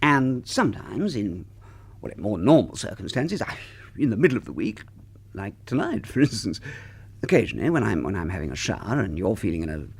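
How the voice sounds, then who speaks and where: An actor playing a fussy, slightly pompous British husband in a radio comedy drama, hesitant and prim, often stammering. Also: male voice, on the low side, very animated, brisk, husky, heavily acted. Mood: awkward, sheepish.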